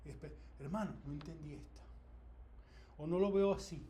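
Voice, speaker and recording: A man leads an informal church Bible class, his voice pitched high and lively as he quizzes the group and circles back over his points. Faint room noise sits behind him. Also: moderate pace. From Latin America. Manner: animated, asks the class questions, repeats himself. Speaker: Bible study teacher.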